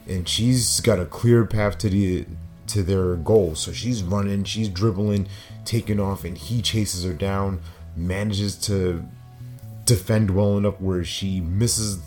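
A middle-aged man with a raspy, slightly hoarse voice, hosting a personal motivational podcast and speaking earnestly in short, paused phrases. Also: deep voice, darkish tone. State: hoarse after a concert. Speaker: podcast host.

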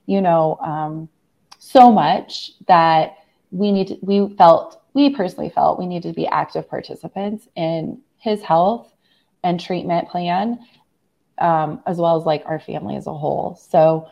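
A young adult woman, hoarse from laryngitis, earnestly recounting a personal family story in a conversation, with many fillers and restarts. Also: lowish voice, unhurried, muffled recording. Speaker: guest.

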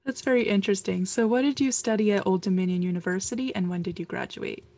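A woman's voice: medium pitch, fast, warm tone. A woman co-hosting a podcast, reading a formal series introduction and asking the guest questions. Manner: formal, reads announcements. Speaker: podcast co-host.

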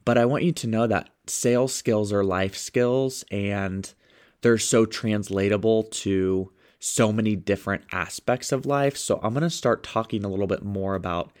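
A young man hosts his own motivational podcast, sounding stuffed up and a bit sniffly from a cold. His voice sits on the low side, and he talks with eager, upbeat energy as he coaches listeners on good habits. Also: moderate pace, a little mellow. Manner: upbeat, enthusiastic. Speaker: podcast host and sales coach.

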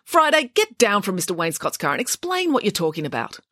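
A woman's voice: mid-range voice, wildly swooping pitch, brisk, fairly bright tone, no room sound, clean studio sound, pitched above the performer's own voice. A woman voicing an exasperated, pompous male school headmaster in a storybook, dryly authoritative.

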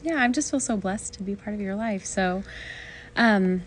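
A woman's voice: mid-range voice, moderate pace. Young woman guest, bubbly and giggly, from Washington State, faint ambient noise behind. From Washington State, USA. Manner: giggly, bubbly, lots of laughter. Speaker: podcast guest. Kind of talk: casual chat.

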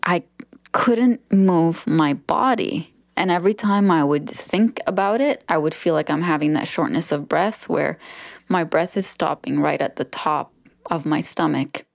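A woman's voice: deep voice, a little slow, creaky, dry recording, studio quality. A woman guest on a podcast, speaking earnestly and heartfelt in a reflective conversation.

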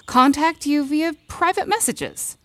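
A woman's voice: sits high, measured pace, a bit bright. An actress playing a wry, reassuring woman advisor in an audio drama, talking in a blunt, casual way. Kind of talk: fiction podcast drama acting.

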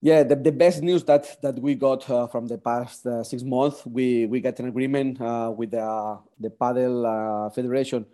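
A man, speaking English as an in a podcast interview, halting with many restarts. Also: medium pitch, steady tone, warm tone. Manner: halting, many restarts and fillers, non-native phrasing. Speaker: padel business founder, guest.

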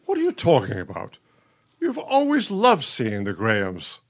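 Patient, lively-pitched elderly husband. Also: male voice, measured pace, full character voice. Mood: puzzled. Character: patient, nostalgic elderly husband, soothing and wistful. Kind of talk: radio play acting.

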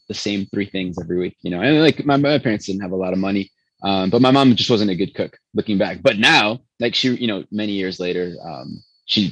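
A man's voice: on the low side, no echo, highs cut off. A man who spoke Spanish first, a pastry chef telling long rambling stories full of restarts in an interview. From born in Chicago, raised in Venezuela. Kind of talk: casual interview storytelling.

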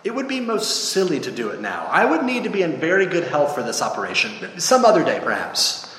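Storybook ghost, played by a man: pitched-up, jittery, evasive, old-fashioned politeness, rambling pleas, long reverb. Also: huge pitch swings, fairly quick, a bit bright. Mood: flustered, polite pleading. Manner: flustered, stammering, rambling excuses in old-fashioned polite English phrasing. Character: nervous, cowardly male ghost, fussily polite, pleading and evasive. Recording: echoey hall with long reverb.

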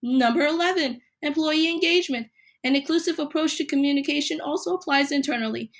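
A woman hosting a solo podcast, a little hoarse from a cold, reading numbered points with emphasis. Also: high-pitched, a little fast. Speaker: podcast host.

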